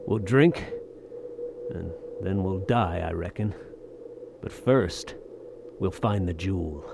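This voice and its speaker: Tired tough adventurer, lowish voice, folksy slang, grim, audio drama w/ noisy ambience behind. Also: male voice, slow, creaky, exaggerated voice. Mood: resigned. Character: weary, hard-bitten Western soldier of fortune, lost in desert.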